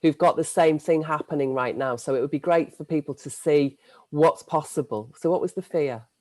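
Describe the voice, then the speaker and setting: A woman's voice: low voice, moderate pace, darkish tone, dry recording. A woman hosting, asking a guest probing, encouraging questions. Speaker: host. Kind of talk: interviewing a guest.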